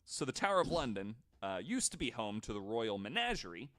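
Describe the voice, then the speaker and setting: A man's voice: medium pitch, big pitch swings, brisk, fairly bright tone. A man hosting a podcast, telling stories in a casual way and slipping in wry, jokey asides. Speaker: podcast host. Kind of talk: casual storytelling with jokes.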